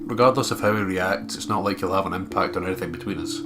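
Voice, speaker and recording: A man's voice: deep voice, fast talker, over music. A man with a blocked nose co-hosting a let's play, chatting and reading aloud in a dry, sweary way. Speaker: co-host of a gaming let's play. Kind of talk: casual chat and reading aloud while playing.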